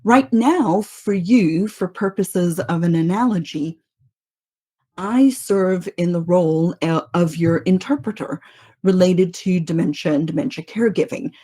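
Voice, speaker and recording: A woman's voice: on the low side, a little slow, dark tone, slightly rough. A woman in her fifties from South Africa, with a mixed international accent that keeps faint South African traces, hosting a teaching podcast in a conversational way, asking rhetorical questions and checking in with "right?".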